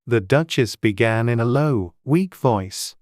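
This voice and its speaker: A man reading a scripted storybook narration in a measured way as an audiobook narrator. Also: medium pitch, animated, a little mellow, no room sound, studio quality.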